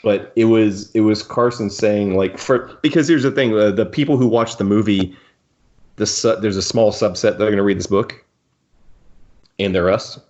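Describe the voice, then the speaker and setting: A man's voice: on the low side, normal speed, darkish tone. A man chatting casually and keenly as a podcast co-host about fan details. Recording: dull, lo-fi microphone sound.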